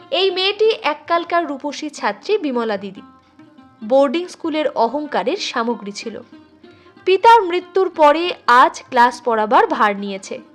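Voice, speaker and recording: Woman reading a story out loud, really high voice, expressive, soft music under it. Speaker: storyteller, channel host. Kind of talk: expressive literary story narration.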